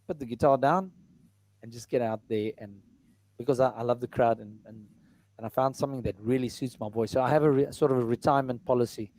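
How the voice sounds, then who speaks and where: Relaxed rambling baritone man. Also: quick pace, no echo, poor audio. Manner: rambling, relaxed, many fillers and restarts. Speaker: musician and entertainer. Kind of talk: casual interview chat.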